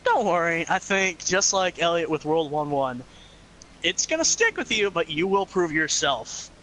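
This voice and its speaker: A young man with a bright, ringing voice that swings all over his range. He chats excitably over a game stream, swearing freely and jumping into goofy voices. His pitch sits a touch high for a man. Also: a little slow, a little hiss or hum, rough recording. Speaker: stream co-commentator. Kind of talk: casual gaming chat.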